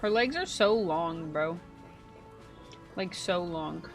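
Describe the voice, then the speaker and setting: A woman reacting to a video game in casual, slangy chat, playful and quick to exclaim. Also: on the low side, expressive, soft background music. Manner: slangy, playful, exclamatory, sing-song asides.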